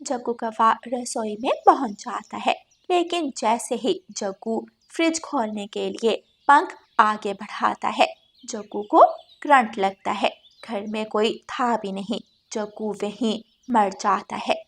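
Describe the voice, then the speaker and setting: A woman's voice: fairly high pitch, slow pace, fairly bright tone, breathy edge. A woman narrating a scripted children's moral story.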